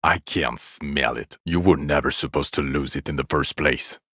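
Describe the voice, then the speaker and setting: Casting: exasperated male detective for a game, extremely low voice, sardonic, profane, accusatory, recorded close and dry. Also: moderate pace, bright, forceful tone, dry recording, exaggerated voice. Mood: weary contempt, then exasperated, scornful. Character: bitter, exasperated male police detective berating his drunk partner. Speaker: video game voice actor. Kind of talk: dramatic game dialogue, scolding.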